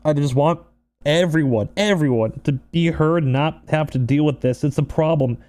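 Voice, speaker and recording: An upset man with a voice a little higher than average, talking unscripted to his viewers. He sounds close to tears, speaks haltingly and often repeats words as he earnestly works through his thoughts. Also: a little mellow. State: emotional, upset, close to crying. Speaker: streamer and video creator. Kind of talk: unscripted personal vlog monologue.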